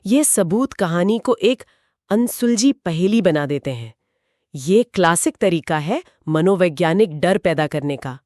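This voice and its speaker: A woman's voice: fairly low pitch, lively intonation, measured pace, darkish tone, no echo, studio quality. Analytical woman co-host weighing a story. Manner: analytical, reacting and explaining the story's psychology. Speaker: podcast co-host. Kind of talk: conversational podcast discussion.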